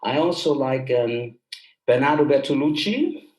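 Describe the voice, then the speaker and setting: A man from Germany speaks as a guest in a casual interview, in a roomy, echoey space. His pitch is middling and his manner is enthusiastic and explanatory, like a filmmaker talking through his craft. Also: lively intonation, measured pace, darkish tone. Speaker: guest, film director and composer.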